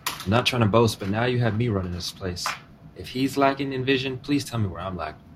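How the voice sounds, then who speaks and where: An actor playing a confident, ambitious nineteen-year-old estate aide in a modern audio drama, speaking respectfully and earnestly. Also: male voice, medium pitch, a little fast, background noise, natural voice. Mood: assured, respectful. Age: young adult. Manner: respectful, earnest, self-assured.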